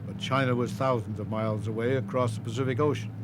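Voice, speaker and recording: A man's voice: medium pitch, fairly even intonation, fast, very noisy. A man delivering scripted documentary narration as the narrator of a history film.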